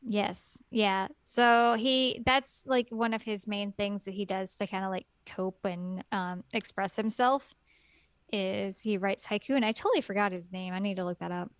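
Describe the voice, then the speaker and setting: A woman's voice: medium pitch, normal speed, clear, resonant tone, dry recording. A woman co-hosting a casual podcast chat, rambling and giggly with lots of fillers. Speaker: podcast co-host.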